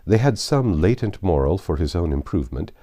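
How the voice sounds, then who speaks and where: A man narrating classic literature as a storyteller, with measured, dramatic phrasing. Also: deep voice, big pitch swings, warm tone, no room sound, clean studio sound.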